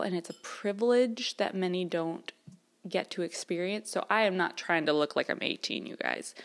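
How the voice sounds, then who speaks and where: A woman, chatting casually to camera in a rambling way with fillers and small laughs. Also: mid-range voice. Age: 30s. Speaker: vlogger. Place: her mother's house, indoors.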